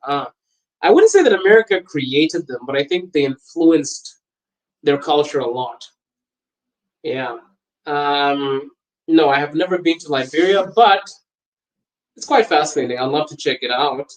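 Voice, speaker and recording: Young guy from botswana hosting a live podcast stream, kinda high voice, laughs a lot, rambly. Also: normal speed, low-quality recording. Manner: animated, laughing often, wandering and talkative. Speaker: podcast host on a livestream. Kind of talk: casual livestream chat reading viewer comments.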